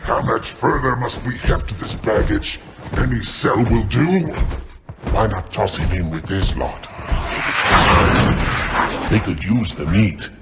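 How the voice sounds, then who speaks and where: Gruff alien creature soldier, grim and gravel-throated, low register, for a sci-fi game. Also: male voice, slow pace, pauses often, heavy background noise. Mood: grumbling, hungry. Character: gruff alien warriors: hungry brutes and a grim disgraced commander. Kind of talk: dramatic video game creature acting.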